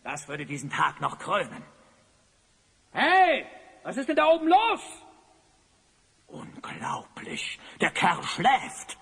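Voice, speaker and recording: An evil sorcerer rules his audio drama scenes with pompous, theatrical commands. The voice sits fairly high and swings wildly between gloating and barking, ringing through a long reverb. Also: wildly swooping pitch, slow pace, creaky, long pauses, fairly bright tone, slightly rough, exaggerated voice. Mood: exasperated. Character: tyrannical, gloating evil sorcerer, Master of Time. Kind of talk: German audio drama acting.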